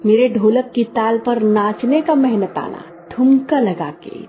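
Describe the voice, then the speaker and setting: A woman's voice: a little high, expressive, slow pace, a crowd in the background. A woman voicing a menacing, taunting witch in a cartoon horror story, with a singsong way of talking. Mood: demanding, menacing. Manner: taunting, repeats a singsong catchphrase. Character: menacing drum-playing female witch demanding blood as payment.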